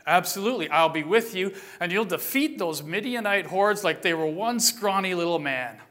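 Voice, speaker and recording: A confident angel messenger, easygoing and steady, with a high, bright voice, as a man puts him on. He reassures without any fuss. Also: normal speed. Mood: reassuring.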